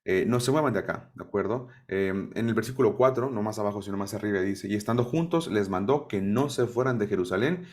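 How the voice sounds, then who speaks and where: Low-pitched male Bible teacher, questions the room, keeps checking in. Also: a little fast, hardly any pauses, a little mellow. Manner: asks the audience questions and checks in with "¿sí?". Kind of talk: sermon-style Bible teaching.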